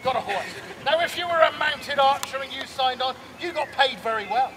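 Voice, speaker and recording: A man's voice: extremely high pitch, a little fast, background noise. Man calling archery drills outdoors. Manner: loud commanding drill calls, teasing the audience. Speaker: archery display presenter. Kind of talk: outdoor demonstration to a crowd. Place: open field at a medieval fair. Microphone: far camera, no mic.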